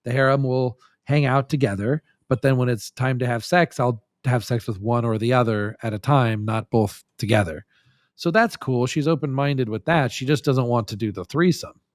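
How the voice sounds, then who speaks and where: A man's voice: mid-range voice, moderate pace, no room sound, studio quality. A man talking casually and frankly as a podcast guest, in a loose, rambling way. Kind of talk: casual candid chat.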